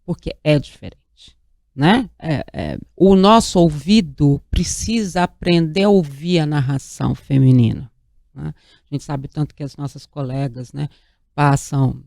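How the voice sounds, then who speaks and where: A woman's voice: deep voice, darkish tone, dry recording, clean studio sound. A woman hosting an interview show, speaking enthusiastically and emphatically, often tagging her sentences with "né?".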